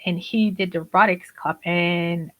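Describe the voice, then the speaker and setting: A woman's voice: lowish voice, steady tone, measured pace, a bit bright, poor audio. A woman in her forties, raised in Germany with Turkish as her first language, chatting as a podcast guest and laughing often. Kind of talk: conversational interview.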